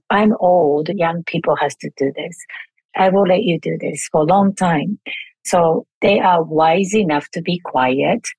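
A middle-aged woman from Japan with a Japanese accent, answering interview questions thoughtfully, pausing to search for words. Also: sits low, darkish tone, slightly rough, dry recording, low-quality recording. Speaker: guest, elder care researcher.

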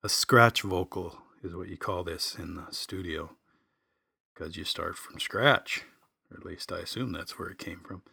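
A man's voice: deep voice, a little slow, vocal fry, bright, forceful tone, breathy edge, no echo, studio quality. A middle-aged man telling a personal story in a reflective, folksy, plain-spoken way. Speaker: podcast host and singer-songwriter. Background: acoustic guitar music.

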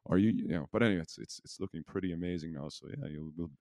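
A man with a very low voice co-hosts a casual podcast. He speaks in a loose, rambling flow, often poking fun at himself. The sound is close and dry, with hardly any room in it. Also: fast talker, a little mellow, no room sound. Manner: rambling, jokey, self-deprecating. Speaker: podcast co-host. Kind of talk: casual unscripted podcast chat.

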